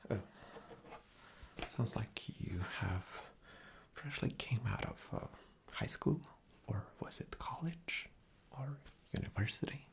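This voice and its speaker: A man playing an arrogant, rude boss in a whispered ASMR interview roleplay, breathy, condescending and dismissive. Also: mid-range voice, lively intonation, slow, pauses often. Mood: impatient, condescending.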